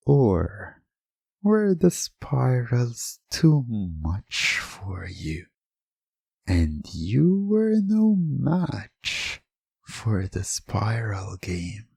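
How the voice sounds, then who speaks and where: A hypnotist narrator speaking in a soothing, commanding, repetitive way, often slipping into a breathy whisper in an ASMR style. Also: mid-range voice, big pitch swings, very slow, mellow, no room sound, studio quality. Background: music.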